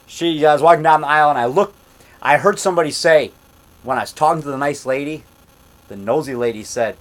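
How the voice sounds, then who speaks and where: A man in his fifties tells a long, wandering story in a loud, fairly high voice. He circles back and repeats himself, growing more worked up as he talks. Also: brisk. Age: 50s. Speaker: monster movie maker telling a story. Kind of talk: casual storytelling monologue.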